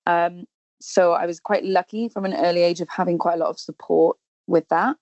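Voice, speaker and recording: A Welsh woman in her thirties with a lowish voice tells stories in a loose, chatty way, filling the gaps with ums and false starts. The sound is dry and a little dull, like a home setup with a muffled, band-limited mic. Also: fairly even intonation, moderate pace, dry recording. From Wales. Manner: chatty, warm storytelling with many ums and restarts. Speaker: podcast guest, actress and singer. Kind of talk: casual interview chat.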